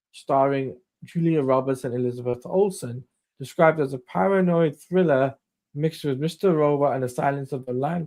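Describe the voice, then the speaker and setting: A man's voice: fairly high pitch, a little mellow. A man hosting a casual live stream, reading out news items in a rambling way while losing his voice. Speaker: stream host.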